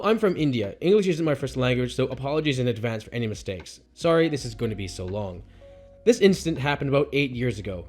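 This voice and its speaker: A man reads online stories aloud for his channel in a fairly high voice. His delivery is lively and expressive, and he reacts openly between the stories. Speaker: host reading Reddit stories.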